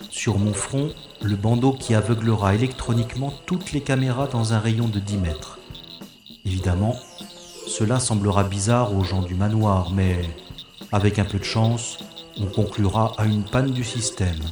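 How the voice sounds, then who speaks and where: A man's voice: lowish voice, moderate pace, reverberant, over music, close to an everyday voice. A man voicing a wry, self-mocking secret agent who narrates his own mission in an audio drama. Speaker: first-person narrator-hero. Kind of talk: audio drama inner monologue.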